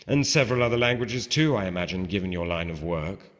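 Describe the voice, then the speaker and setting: A man's voice: low-pitched, lively intonation, fast talker, fairly bright tone. A man reading aloud the part of a brash, mocking friend, insistent and falsely courteous, in a novel, in a reverberant space. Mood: mock-polite, goading. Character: brash, mocking male friend, theatrically suave. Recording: reverberant hall with long echo.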